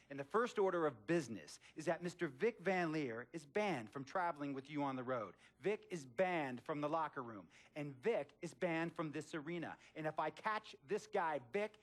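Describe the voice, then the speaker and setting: A man's voice: on the high side, close to an everyday voice. A man acting as a bossy, flamboyant billionaire team owner in a video game cutscene, with theatrical, emphatic delivery and repeated phrases. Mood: stern, threatening.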